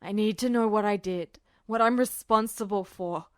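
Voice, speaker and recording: A woman voicing a troubled, guilt-ridden young soldier, speaking low and strained in a podfic reading. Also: moderate pace, no room sound, studio quality, close to an everyday voice. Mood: insistent.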